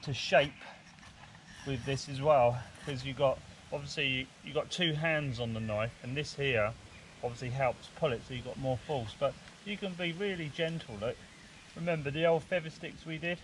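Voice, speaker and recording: A man's voice: medium pitch, fairly even intonation, moderate pace, rough recording. A man in his forties giving a casual, hands-on bushcraft demonstration outdoors in woodland, talking informally as he works. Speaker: bushcraft instructor. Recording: clear outdoor background noise, woodland ambience.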